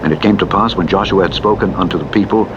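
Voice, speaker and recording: A man giving a solemn, measured and declamatory reading of scripture as a Bible narrator. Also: low voice, muffled recording. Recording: loud vehicle engine noise over voice. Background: truck driving simulator engine and road noise.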